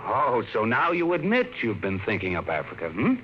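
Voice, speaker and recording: A man's voice: mid-range voice, wildly swooping pitch, brisk. A man playing a worried middle-aged father who talks to his children in a firm, stern manner, in 1950s radio drama acting. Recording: muffled hissy 1950s radio broadcast.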